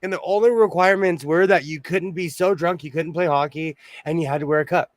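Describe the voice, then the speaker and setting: A man in his forties hosting a casual sewing livestream, hoarse and losing his voice, joking and laughing often as he reads the chat. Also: a little high, brisk, no room sound, studio quality.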